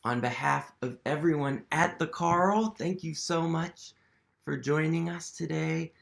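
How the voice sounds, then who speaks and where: A man reading a picture book aloud to children, gently asking them questions and sometimes dropping to a whisper. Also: a little high, unhurried, vocal fry. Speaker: museum storytime reader.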